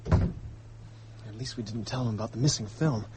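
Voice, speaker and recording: A man's voice: fairly low pitch, a little slow, warm tone, slight background noise, natural voice. An actor playing an earnest, worried young man caught up in a mystery in a radio drama, speaking with urgency. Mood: suspicious, reasoning.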